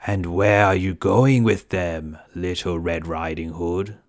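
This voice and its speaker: A man voicing a big wicked wolf in a children's storybook, gruff and slyly polite. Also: low voice, steady tone, takes it slowly.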